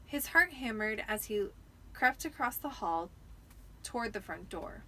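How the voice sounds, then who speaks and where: Bright, tipsy woman narrating a story. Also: on the high side, lively intonation, measured pace. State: tipsy from wine. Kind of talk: dramatic amateur audiobook narration.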